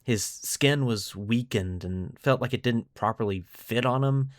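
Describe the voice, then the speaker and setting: A male podcast host with an average-pitched voice narrates fictional history. He speaks deliberately, pausing for drama, in a semi-scripted storytelling style. The recording is dry and free of room sound. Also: dry recording.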